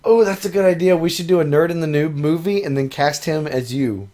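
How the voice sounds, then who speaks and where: A man co-hosting a podcast in casual chat, hoarse from losing his voice and laughing often. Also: a little high, a little fast, dark tone. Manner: laughs often, rambling and loose. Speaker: podcast co-host.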